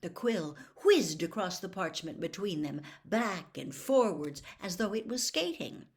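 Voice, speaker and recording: A woman's voice: on the low side, expressive, a little slow. Woman reading a novel aloud expressively. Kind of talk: expressive audiobook-style narration of a novel.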